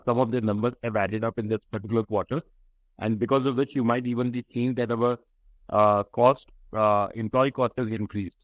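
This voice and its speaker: A man with an Indian accent and a fairly low voice speaks as a company executive on a call. He explains things in a measured, hesitant manner. The sound is dry, with very little room. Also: dry recording, rough recording. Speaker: company executive answering questions on an earnings call. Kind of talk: business conference call, unscripted answers. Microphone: conference call line, close and dry.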